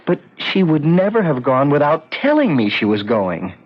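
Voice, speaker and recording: A troubled, grieving husband in a vintage radio play. He is halting and earnest but stubborn, with an expressive, lively range. The old recording sounds dull. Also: a little high. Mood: anguished. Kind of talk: 1970s radio drama acting.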